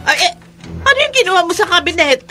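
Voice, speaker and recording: A woman's voice: very high-pitched, big pitch swings, fast, full character voice. A woman with a Filipino accent playing a nervous, fretful mother who stammers in panic in a horror radio drama. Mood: frightened. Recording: loud music behind dialogue.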